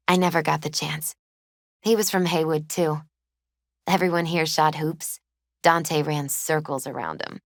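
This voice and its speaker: Soft wistful young woman from a video game, lowish voice, kinda hesitant and grieving, really dry close sound. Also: dry recording. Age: 20s. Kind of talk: naturalistic video game dialogue acting.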